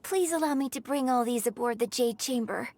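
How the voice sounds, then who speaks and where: A woman's voice: a little high, a little fast, a little mellow, slight background noise, natural voice. A woman voicing a gracious young female opera playwright who speaks courteously and formally. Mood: polite. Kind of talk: game cutscene voice acting.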